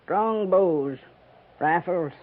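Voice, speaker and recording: An American actress playing a tough, grasping old frontier widow, curt, scolding and folksy, in a 1950s radio western. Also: female voice, on the low side, very slow. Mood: gruff, matter-of-fact. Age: older. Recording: tinny, narrow-band 1950s radio recording.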